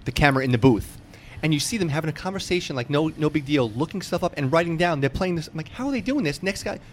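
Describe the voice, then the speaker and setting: A man's voice: a little high, expressive, quick pace, slight background noise. An American man hosting a casual interview with a fan's enthusiasm, often repeating and stammering over words. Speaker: interview host. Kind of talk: casual sports-fan chat.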